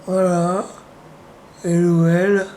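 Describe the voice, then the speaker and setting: A hoarse man with the flu, speaking at home in a rambling, sardonic monologue full of hesitations. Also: sits high, little pitch movement, drawn-out words, dark tone, a little hiss or hum. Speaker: vlogger.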